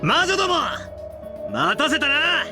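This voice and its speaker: A man playing a brash, defiant young hero in an anime-style visual novel, speaking in rough, cocky phrases. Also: extremely high pitch, wildly swooping pitch, a little fast, music in the background, full character voice. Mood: defiant shouting. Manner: rough, cocky masculine speech.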